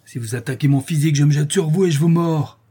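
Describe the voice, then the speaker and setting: Casting: man playing elderly female concierge, storybook comedy, husky, feisty, colloquial scold with mock-heroic indignation. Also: a little high, normal speed, darkish tone, voice pushed up. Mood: indignant.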